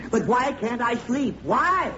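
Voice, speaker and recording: Flustered old-radio sitcom dad, really high voice swooping around, sputtering and indignant. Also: male voice, big pitch swings, takes it slowly, sharp tone, heavily acted. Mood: exasperated. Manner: sputtering, indignant, repeats himself. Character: exasperated, blustering middle-aged father, sleepless and fussy. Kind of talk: 1940s radio comedy acting. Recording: noisy, dull vintage radio recording.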